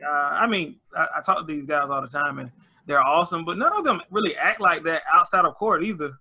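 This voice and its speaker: A man hosting an interview, talking in an animated and enthusiastic way. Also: on the high side, fairly quick, slightly rough. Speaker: interview host. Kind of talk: conversational interview.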